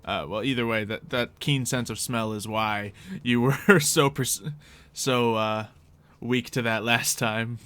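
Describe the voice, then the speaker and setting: A man's voice: medium pitch, steady tone, unhurried, a bit bright, smooth. A man running a tabletop roleplaying game as game master, narrating in a playful, descriptive way among friends.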